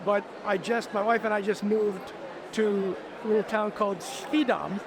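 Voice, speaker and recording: A man's voice: high-pitched, steady tone, normal speed. An older man from New City, answering enthusiastically with stammering restarts. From New York City. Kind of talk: casual interview answers. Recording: loud crowd and noise at a fair.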